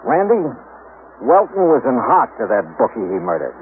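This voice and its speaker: An actor playing a brisk, wry American insurance investigator in clipped, wisecracking 1950s radio drama style. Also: male voice, medium pitch, big pitch swings, natural voice. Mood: pressing, confident. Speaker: lead actor in a radio detective series. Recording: tinny, noisy old radio recording.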